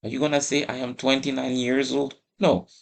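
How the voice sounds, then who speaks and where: A man's voice: mid-range voice, flat delivery, a little fast, gravelly. A man giving an instructional English lesson, explaining emphatically with plenty of repetition and frequent check-in questions. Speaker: English teacher.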